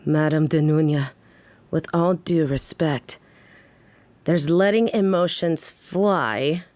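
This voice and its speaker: Actress as hard-edged lady gangster, measured and slow, formal but threatening, dry close recording. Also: sits low, a little mellow, no echo. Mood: angry, defiant. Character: tough, protective female mafia boss, formal yet foul-mouthed. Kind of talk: audio drama acting.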